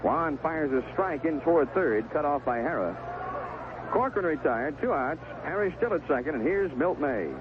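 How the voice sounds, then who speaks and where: A man giving live 1970s radio play-by-play of a baseball game, describing each pitch in short, clipped phrases. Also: lively intonation, normal speed. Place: outdoor ballpark broadcast booth. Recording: noisy, tinny narrowband vintage radio broadcast.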